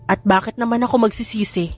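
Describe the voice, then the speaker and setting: A woman's voice: fairly high pitch, steady tone, fast talker, soft background music, natural voice. Woman playing a sulky, stubborn grown man. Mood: defensive.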